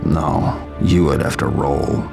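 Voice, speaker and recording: A hard-bitten antihero for a game cutscene. His voice is extremely low and full of gravel, delivering terse, menacing sarcasm in deadpan over faint music. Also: takes it slowly, full character voice. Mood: dry sarcasm. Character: grim vigilante antihero, sardonic hard-bitten adult man. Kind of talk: video game cutscene acting.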